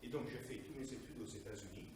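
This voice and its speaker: A man's voice: mid-range voice, darkish tone. Hesitant older man in an echoey room. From Italy, raised in the United States. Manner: hesitant, explanatory, frequent filler pauses. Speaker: chemistry professor presenting research. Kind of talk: academic presentation. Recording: echoey room, distant mic, faint noise.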